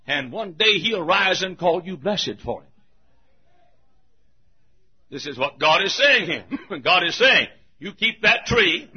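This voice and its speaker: An older male pastor preaches with fire, his husky voice riding high and swooping widely between quiet asides and shouted points. He chuckles through his lines. The recording is muffled and lo-fi. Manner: fiery preaching, swings from hushed to shouting. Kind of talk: sermon.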